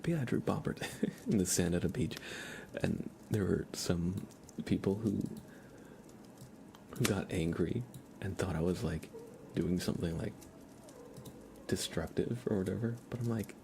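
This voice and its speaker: A man hosting an ASMR livestream, chatting casually and haltingly in a soft, hushed voice that drifts into whispering. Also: sits low, gravelly. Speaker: livestream host. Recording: steady background noise with keyboard typing.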